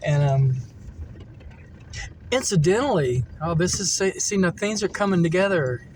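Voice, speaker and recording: A middle-aged man with an American accent chats informally about his memories. His voice sits a little higher than most men's. He wanders from point to point, pausing and thinking out loud. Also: animated, a little hiss or hum. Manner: halting, meandering, thinks aloud. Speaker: vlogger telling personal stories. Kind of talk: casual rambling reminiscence. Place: camper van.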